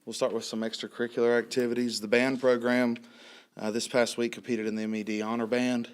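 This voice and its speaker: A man in his thirties, a high school principal, giving a report at a school board meeting while a little hoarse from a cold, clearing his throat often. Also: little pitch movement, brisk. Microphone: podium microphone.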